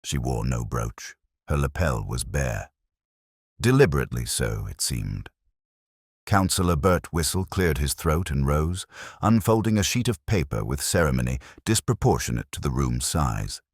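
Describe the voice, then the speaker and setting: A man reading scripted story narration in a measured, literary manner. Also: very deep voice, big pitch swings, husky, dry recording, clean studio sound. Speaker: audiobook narrator.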